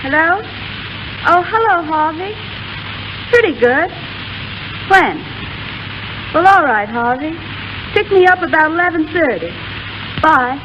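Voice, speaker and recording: A woman's voice: high-pitched, animated, slow, pauses often, noisy background. An actress playing a lovelorn young American showgirl in a 1930s radio drama, with plain, slangy, understated speech. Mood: matter-of-fact. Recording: dull 1930s radio broadcast with music behind.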